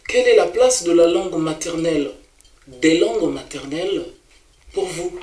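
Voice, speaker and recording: A man's voice: on the high side, normal speed, a little mellow, echoey room, slight background noise. A man interviewing a guest, asking formal questions and addressing him as monsieur.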